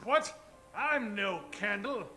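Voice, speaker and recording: A man's voice: high voice, lively intonation, takes it slowly, sharp tone, soft background music, full character voice. A man playing a wisecracking, theatrical detective hero in an improvised old-time radio parody. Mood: startled, quipping.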